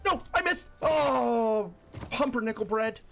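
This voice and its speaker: A man's voice: extremely high pitch, animated, gravelly, a bit bright, faint music behind. A man in his forties live-streaming a game, chatting casually with viewers and laughing often. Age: 40s. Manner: chatty, rambling, quick to laugh. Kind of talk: casual live-stream chat while gaming.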